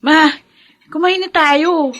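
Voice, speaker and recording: High-voiced, loyal young Filipina sister. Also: female voice, steady tone, takes it slowly, fairly bright tone, soft background music. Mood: evasive. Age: young adult. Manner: reasoning, encouraging, defensive of her sibling. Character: supportive, loyal younger sister, reasoning gently with family. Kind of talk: Filipino family drama acting.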